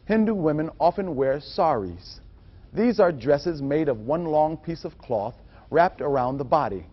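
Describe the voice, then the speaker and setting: A man's voice: a little high, lively intonation, unhurried. A man reading scripted narration for a training film. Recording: muffled audio with music behind. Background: music.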